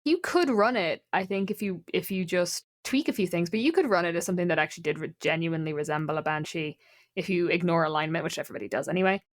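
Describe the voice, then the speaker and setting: A woman's voice: fairly low pitch, fast talker, dry recording, studio quality. A woman talking casually about folklore and games, opinionated and given to rambling asides.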